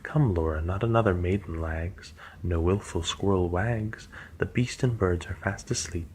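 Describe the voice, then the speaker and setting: A man reading the lines of a cautious young woman who warns her sister, softly and gently. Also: low voice, normal speed, a little hiss or hum, close to an everyday voice. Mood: anxious pleading.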